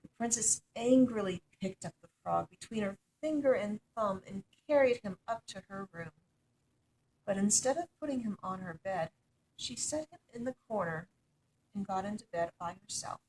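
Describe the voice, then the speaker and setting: A woman reading a children's story aloud as an expressive narrator. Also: medium pitch, moderate pace, slight background noise, rough recording. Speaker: storytime reader.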